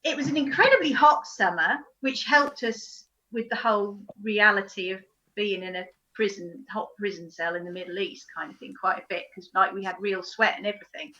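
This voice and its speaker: Bright-voiced woman telling an anecdote. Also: mid-range voice, brisk, lo-fi sound. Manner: animated storytelling, acts out moments. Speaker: actor. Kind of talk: anecdote on a video call. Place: indoors, on a video call.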